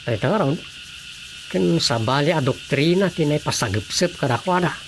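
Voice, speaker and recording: A man's voice: fairly high pitch, animated, normal speed, slight background noise. A man with an Ilocano accent playing a resolute, authoritative army officer in a radio drama. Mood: firm, commanding. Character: resolute army officer giving orders to his men.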